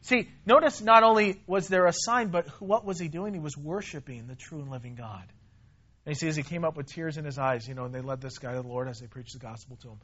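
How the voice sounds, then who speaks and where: A man preaching with a high, raised voice, pressing his points hard and often repeating words as he builds up. He swings from loud, stressed phrases to quiet, low asides. The recording sounds dull and muffled. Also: fast. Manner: emphatic, repeats himself, rises and drops in volume. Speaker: pastor. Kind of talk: sermon.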